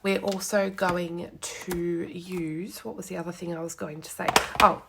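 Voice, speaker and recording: Brief: young woman, hoarse and snuffly from a cold, chatty craft livestream host at her desk, faint background hiss. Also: lowish voice, flat delivery, a little slow, fairly bright tone. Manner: chatty, greets viewers, lots of ums. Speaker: card-making demonstrator. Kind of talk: casual live craft demo.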